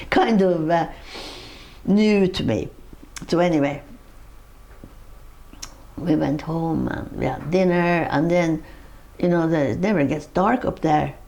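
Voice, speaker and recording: A woman's voice: low-pitched, vocal fry, warm tone. An older woman with a Norwegian accent, recalling her life in a personal interview, rambling through her story with laughs and restarts. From Norway.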